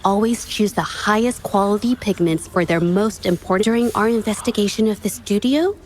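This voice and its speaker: A woman's voice: medium pitch, brisk, a little mellow, slight background noise, natural voice. A woman voicing an earnest, resolute young female painter in a video game, hesitant at times.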